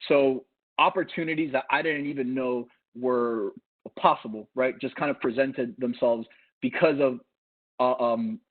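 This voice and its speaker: A man, Cuban-born and Miami-raised, tells his career story in a loose, conversational panel style. He often restarts and circles back on his own phrases. His voice sits at an ordinary pitch and comes through a tinny video call line. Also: steady tone, a little slow. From Cuba, raised in Miami. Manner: rambling, many restarts and fillers.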